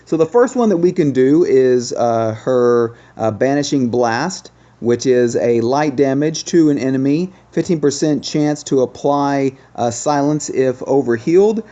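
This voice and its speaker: A man's voice: mid-range voice, animated, measured pace, few pauses, warm tone, muffled recording. A man doing casual, explanatory game commentary as the host of a gaming video.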